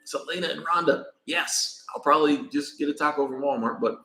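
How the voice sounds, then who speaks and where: An American man rambling casually on a live stream while traveling in Mexico, pausing to thank viewers by name. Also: a little high, pushed and bright, roomy sound, rough recording. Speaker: vlogger.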